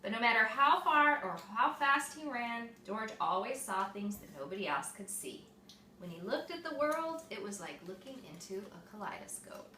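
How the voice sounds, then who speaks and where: A woman reading a picture book aloud to young children, expressive and explaining things simply, like a mother at storytime. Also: fairly high pitch, moderate pace. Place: echoey room with small children present. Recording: echoey room, distant mic, faint noise.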